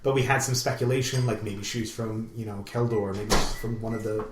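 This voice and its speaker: A man's voice: lowish voice, steady tone, brisk. A man co-hosting a casual gaming podcast, rambling and joking with enthusiasm. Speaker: podcast co-host. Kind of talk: casual hobby podcast chat about games. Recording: roomy echo, faint background noise.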